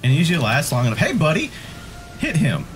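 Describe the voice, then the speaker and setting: A man bantering casually as he comments on a friend's video game, joking and swearing freely in an excitable way. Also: fairly high pitch, a little fast. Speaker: co-host commenting on a friend's gameplay. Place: indoor room, webcam setup beside another player. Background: game music.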